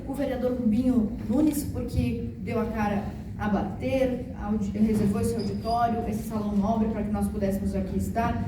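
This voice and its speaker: A woman from Santa Catarina with a rural Santa Catarina accent, a former state deputy giving a speech at a public event, thanking people and joking with the audience. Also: mid-range voice. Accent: rural Santa Catarina Portuguese. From Santa Catarina, Brazil. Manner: warm joking asides, grateful acknowledgments. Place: large council hall with audience. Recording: distant mic, echoey hall, noisy crowd.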